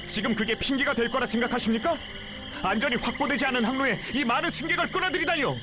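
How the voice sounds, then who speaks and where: A man voicing a gentle, protective young teacher in an anime-style game, earnest and politely firm. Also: very high voice, quick pace. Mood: stern, urgent. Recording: slightly dull audio under loud music. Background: loud background music.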